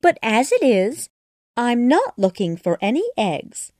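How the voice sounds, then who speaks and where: A flustered, truthful little girl, high-pitched and indignant, as a woman puts her on. She pleads her case slowly, her voice leaping up and down, and the recording is dry and close. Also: big pitch swings, a little mellow, no room sound, exaggerated voice. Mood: hasty.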